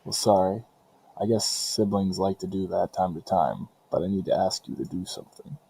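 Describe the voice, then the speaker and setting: A man voicing a coolly insistent cartoon villain with a gravelly, husky voice. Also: low-pitched, steady tone, moderate pace, warm tone, slight background noise, poor audio. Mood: dry, insistent.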